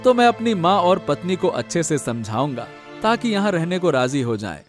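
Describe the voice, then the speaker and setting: A man's voice: on the high side, big pitch swings, normal speed, faint music behind. A man voicing a humble, worried village servant in a cartoon drama, deferential and hesitant. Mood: worried, respectful. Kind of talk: Hindi cartoon family drama.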